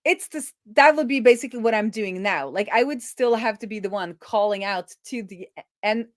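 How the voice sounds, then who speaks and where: A woman's voice: mid-range voice, brisk, no room sound, studio quality. A woman hosting a casual live coding stream, thinking aloud as she explains technical things informally. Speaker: live-streaming web developer and host.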